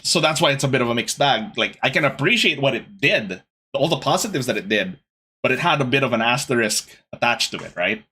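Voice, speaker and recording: A young man hosting a casual gaming podcast, talking to his chat in an animated, exasperated way. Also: medium pitch, a little fast, a little hiss or hum. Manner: animated, exasperated ranting with laughs. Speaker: podcast host.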